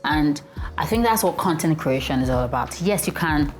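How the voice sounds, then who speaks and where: A Nigerian woman with a low, deep voice talks in a relaxed, halting way, often restarting her sentences. Soft music plays faintly behind her. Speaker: guest, content creator. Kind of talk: casual interview chat.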